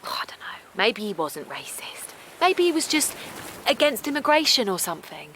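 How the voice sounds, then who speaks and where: A woman's voice: a little high, big pitch swings, moderate pace, fairly bright tone, a little hiss or hum. An actress playing a naive, kindly middle-aged village woman in an audio drama, hesitant and defensive, with colloquial phrasing. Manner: hesitant, colloquial, protesting, repeats herself. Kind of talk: naturalistic audio drama acting.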